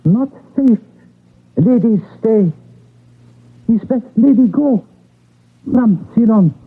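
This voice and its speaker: Casting: humble island manservant, husky, very slow halting speech, solemn, vintage radio play. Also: male voice, high voice, expressive, heavily acted. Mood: fearful, deferential. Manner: halting broken English, solemn. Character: deferential, superstitious island servant warning his master. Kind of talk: radio mystery drama acting. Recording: tinny, narrow-band old radio recording.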